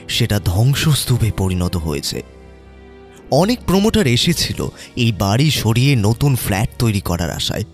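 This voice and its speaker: A man narrating a scripted horror story in a slow, measured way. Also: on the low side. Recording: narration over background music.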